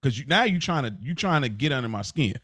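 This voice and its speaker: A man's voice: mid-range voice, very animated, fast talker, fairly bright tone, breathy edge, no echo. A man co-hosting a podcast in casual, slangy chat, circling back and repeating himself to make his point. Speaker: podcast co-host.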